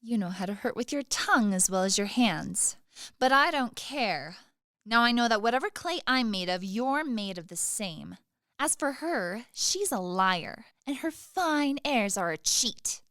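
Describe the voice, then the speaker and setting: A woman's voice: a little high, lively intonation, unhurried, a bit bright, no room sound, studio quality. A woman acting in a radio play as a proud, sly young maidservant, pert and needling under a show of deference. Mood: wounded, defiant.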